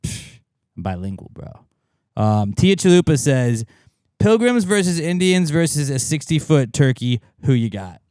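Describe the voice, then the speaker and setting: A man's voice: fairly high pitch, big pitch swings, measured pace, a little mellow, clean tone, no echo, studio quality. A man co-hosting a podcast, talking in casual comedic banter with slangy, sweary phrasing. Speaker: podcast co-host.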